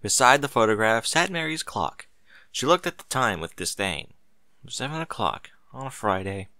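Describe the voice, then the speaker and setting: Male narrator, animated intonation, bright forceful tone, expressive story reading. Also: mid-range voice, moderate pace, clear, resonant tone, dry recording, studio quality.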